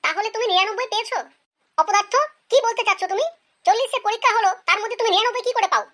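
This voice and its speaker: A performer voicing a strict, bossy female schoolteacher who scolds and questions pupils in an animated comedy skit. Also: very high-pitched, very fast. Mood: stern.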